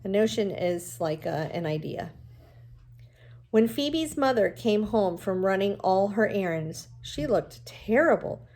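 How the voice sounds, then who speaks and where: A woman reading a novel aloud like a teacher, in an expressive storytelling manner with explanatory asides. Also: medium pitch, a little slow.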